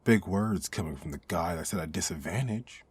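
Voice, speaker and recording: A man playing a menacing street mugger in an ASMR audio drama, with a rough, husky voice and a taunting, threatening manner. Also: low voice, expressive, normal speed, exaggerated voice. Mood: sneering, menacing. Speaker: voice actor.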